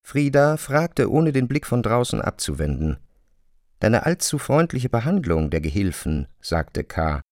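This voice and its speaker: A man's voice: medium pitch, very animated, unhurried, darkish tone. A man working as a grave narrator in a literary radio play, telling a bleak story.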